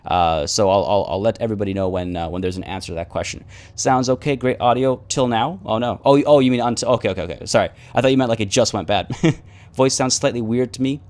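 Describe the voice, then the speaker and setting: A man live-streaming a game, chatting casually and rambling with many restarts, his voice a little rough from the morning. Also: on the low side, fast, a little mellow.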